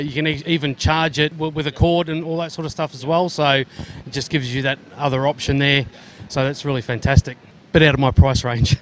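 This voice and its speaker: Chatty Australian interviewer at an expo. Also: male voice, fairly high pitch, a little hiss or hum. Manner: friendly, chatty, Aussie slang like mate. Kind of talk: casual trade show interview. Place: indoor expo hall, busy. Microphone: handheld mic.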